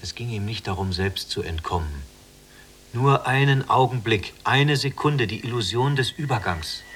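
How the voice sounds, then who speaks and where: An actor playing an earnest, idealistic younger prisoner in a literary radio play, searching and pleading in manner. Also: male voice, mid-range voice, lively intonation, normal speed, fairly bright tone, a little hiss or hum, close to an everyday voice. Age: young adult.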